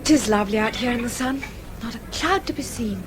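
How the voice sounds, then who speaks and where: Actress doing outspoken young british lady in a radio drama, high pitched and polite, faint noise behind. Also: fairly quick, natural voice. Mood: friendly. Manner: earnest, well-spoken. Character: outspoken, earnest young Englishwoman, indignant and polite.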